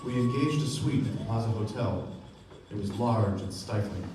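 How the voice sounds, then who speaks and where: A man playing a reflective storyteller, giving measured literary narration in a radio play. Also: lowish voice, natural voice. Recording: echoey stage from far off, clear noise.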